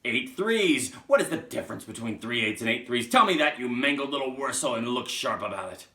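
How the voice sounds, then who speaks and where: A man's voice: fairly low pitch, very animated, slow, bright, forceful tone, reverberant, voice pushed up, exaggerated voice. A man voicing a tyrannical, middle-aged headmistress who barks contemptuous insults in a theatrical storybook reading. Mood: furious, shouting. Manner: barking, contemptuous, over-emphatic.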